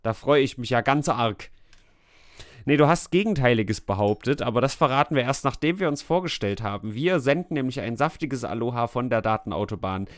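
A man co-hosting a podcast, teasing his partner and laughing between jokes. His voice sits at an ordinary middle pitch. The sound is dry and close, with no room around it. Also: lively intonation, brisk, dry recording. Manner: joking, teasing, laughs often. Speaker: podcast co-host. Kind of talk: casual podcast banter.

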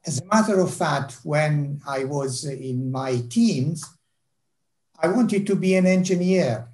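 A man's voice: fairly high pitch, expressive, takes it slowly, darkish tone. A middle-aged man from Italy with an Italian accent, presenting with dry self-deprecating humour over a video call from a home office. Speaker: heart surgeon giving a talk.

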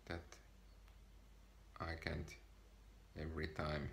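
A man's voice: rumbling low, monotone, slow, gravelly, fairly bright tone, a little hiss or hum. A shy young Finnish man with a Finnish accent talking hesitantly to a camera from his sofa at home, English not his first language. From Finland. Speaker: vlogger. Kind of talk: personal vlog to camera. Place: small room, seated on a sofa. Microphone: camera in front of him.

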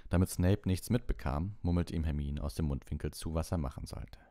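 A man's voice: extremely low pitch, fairly quick, warm tone, no echo, studio quality. A man reading a story aloud as an audiobook narrator. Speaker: audiobook reader and streamer.

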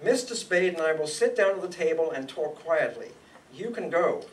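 A man's voice: on the high side, expressive, moderate pace, close to an everyday voice. An older man playing an anxious, scheming married businessman in a radio play, earnest and pleading. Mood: firm. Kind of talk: old-time radio acting before an audience. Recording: live stage pickup, distant, background noise.

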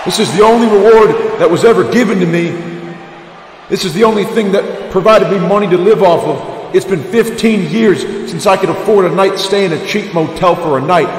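A defiant drifter-turned-champion wrestler, voiced by a man cuts a blunt promo in a wrestling game, swearing freely. His voice sits a little high, and it rings out with a roomy echo and a long reverb tail. Faint ambience hums underneath. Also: a little fast, slight background noise.

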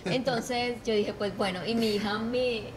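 A woman's voice: a little high, steady tone, a little slow, over a noisy crowd. A middle-aged woman with a Bogotá Colombian accent, chatting casually and telling stories as an acting guest on a podcast. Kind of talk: casual storytelling chat. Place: shop with shelves of goods, indoors. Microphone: podcast mic at table. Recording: clear ambient noise behind voice.

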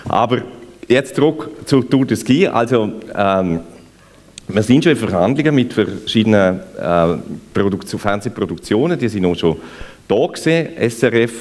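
A man's voice: on the low side, expressive, normal speed, a little mellow. A young man with a presenting on stage with a handheld mic, chatty and loose, with fillers. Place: event hall, on stage. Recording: long hall reverb.

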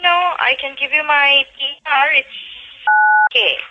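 A woman's voice: high voice, fairly even intonation, a little slow. Puzzled woman on a dull phone line. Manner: polite but increasingly puzzled. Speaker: prank call target.

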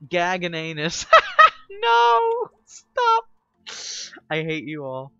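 A male game streamer in casual live chat with viewers, excitable and teasing, laughing often. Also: sits high, very slow, creaky, clean tone, no room sound, highs cut off.

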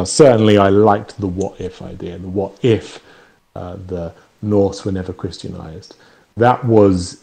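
A man from England with an English accent, an author answering interview questions in a reflective, halting storytelling way. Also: fairly low pitch, darkish tone. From East Anglia, England; lives in Canada. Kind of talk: conversational interview, storytelling explanation.

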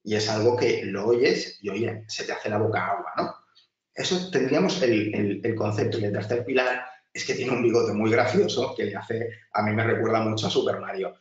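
Chatty instructor, distant roomy audio. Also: male voice, on the low side, normal speed, dull audio. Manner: chatty, many restarts and filler, addresses class directly. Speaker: design instructor. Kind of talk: webinar lecture over slides.

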